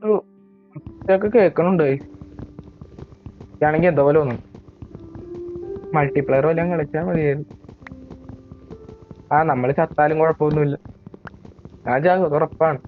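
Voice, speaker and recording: A man's voice: moderate pace, pauses often, faint music behind. A young man with a Malayali accent giving casual, self-mocking commentary while he plays a horror game. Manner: chatty and self-mocking, admits he scares easily. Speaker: gaming YouTuber. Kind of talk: casual let's-play commentary. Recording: tinny, low-quality mic.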